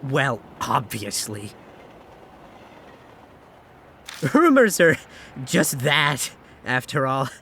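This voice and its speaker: A man playing a skeptical, teasing young ghost-hunting companion in a visual novel dub, with drawn-out theatrical delivery. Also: mid-range voice, sing-song, fairly bright tone, a little hiss or hum, full character voice. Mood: dismissive. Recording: music under the voice.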